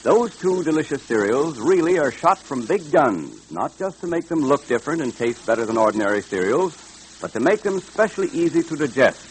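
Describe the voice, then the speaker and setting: A man announcing a vintage radio serial in an American accent. His mid-range voice is husky and unpolished in tone. He reads scripted copy with a salesman's emphasis. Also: mid-range voice, poor audio. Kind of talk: 1940s radio announcing, scripted sponsor pitch and narration.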